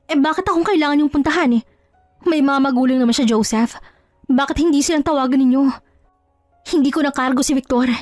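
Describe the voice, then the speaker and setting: A woman's voice: high-pitched, brisk, pauses often, darkish tone, no echo, pitched above the performer's own voice, lightly acted. Emphatic woman acting a wounded, kind-hearted wife, music behind. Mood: indignant. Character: kind, wounded wife, firm and reluctant to forgive. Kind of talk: Tagalog audio drama acting.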